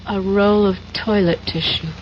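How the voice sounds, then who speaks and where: A woman's voice: medium pitch, steady tone, unhurried, background noise, natural voice. An actress playing a cool, worldly American female double agent in a radio drama, with a knowing, dramatic delivery. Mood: skeptical, impatient. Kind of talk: 1970s radio drama acting. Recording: muffled old radio broadcast with music.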